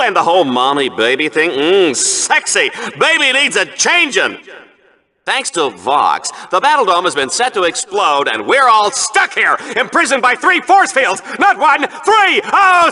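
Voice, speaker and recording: An actor voicing a hammy, excitable, vain TV sports announcer in a sci-fi video game, bombastic and theatrical in his commentary. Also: very high voice, heavily acted. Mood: smug, chatty. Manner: bombastic, theatrical, swooping from smug purr to shriek.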